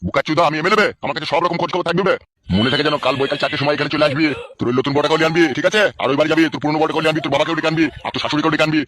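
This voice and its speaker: A man's voice: on the high side, fairly even intonation, very fast, fairly bright tone, lightly acted. A man voicing a bullying, scheming local politician in a comic cartoon, scolding and interrogating coarsely. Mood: bossy. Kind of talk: comic cartoon dialogue in colloquial rural Bengali.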